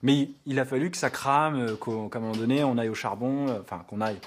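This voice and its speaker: A man giving his opinion in an interview, fast and searching for words. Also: mid-range voice, a little hiss or hum.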